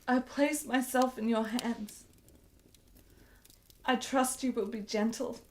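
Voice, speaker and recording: A woman's voice: a little high, fairly even intonation, takes it slowly. An actress playing a frail, insecure, devoted woman in a period radio drama, with a hesitant, emotional delivery. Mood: vulnerable, trusting.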